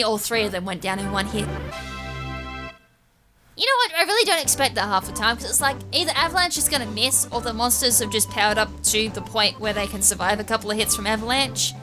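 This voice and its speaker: A woman giving casual, rambling video game walkthrough commentary, thinking aloud with an occasional stutter. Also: medium pitch. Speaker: gaming YouTuber, series host. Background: game music.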